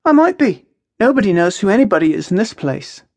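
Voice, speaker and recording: A woman narrator voicing a lively, teasing, earnest young woman with a lyrical, expressive way of talking in a story. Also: mid-range voice, brisk, no echo, pitched above the performer's own voice. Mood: playful, probing.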